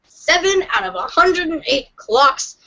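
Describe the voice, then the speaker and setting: Woman reader, high bright voice, measured dramatic script read, slightly muffled home podcast sound. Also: big pitch swings.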